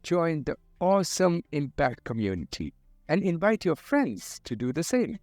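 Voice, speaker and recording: A man co-hosting an online talk show, chiming in with short, lively remarks. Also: fairly high pitch, normal speed, dry recording, soft background music. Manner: lively, brief interjections. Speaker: co-host.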